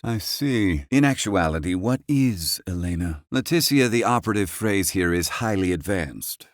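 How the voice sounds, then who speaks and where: A man playing a gruff, proud, learned older inventor in a fantasy video game, speaking in curt, haughty, archaic and erudite phrasing. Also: sits low, dry recording, clean studio sound. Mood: lecturing, haughty. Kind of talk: video game character dialogue.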